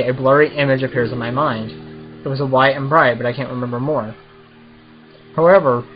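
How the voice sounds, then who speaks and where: A man's voice: medium pitch, lo-fi sound. A man doing a casual let's play, reading visual novel text aloud and commenting as the host of a gaming video.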